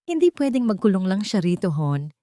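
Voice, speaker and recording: A woman's voice: medium pitch, sing-song, a little fast, mellow, dry recording, clean studio sound, natural voice. Woman voicing a worried, devoted grown son. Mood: earnest, coaxing. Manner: concerned, earnest, mixing in English. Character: worried, devoted adult son, wealthy businessman.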